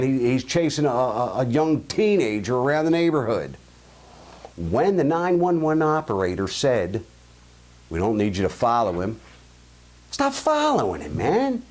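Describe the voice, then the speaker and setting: Blunt Baltimore man ranting. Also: mid-range voice. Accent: Baltimore (Highlandtown). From Baltimore, USA. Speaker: show host. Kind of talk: opinion monologue and listener mail.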